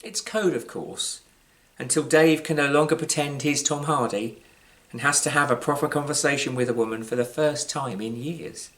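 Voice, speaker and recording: A British man reads his own short story aloud in a measured, wry way, with deliberate pauses and varied emphasis. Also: medium pitch, a bit bright, low-quality recording. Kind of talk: scripted literary storytelling, live reading.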